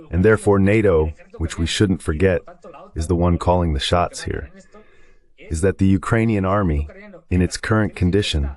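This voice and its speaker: Deep-voiced man giving political commentary. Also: a little mellow. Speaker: translation voice of a military commentator.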